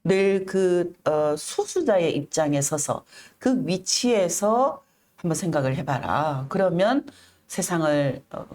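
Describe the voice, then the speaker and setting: A middle-aged woman telling her own story in an interview, earnest and measured, pausing often and enunciating with care. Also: lowish voice, lively intonation, a little mellow. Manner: earnest, measured, with frequent pauses and careful enunciation. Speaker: interview guest, activist. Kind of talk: reflective personal storytelling in an interview.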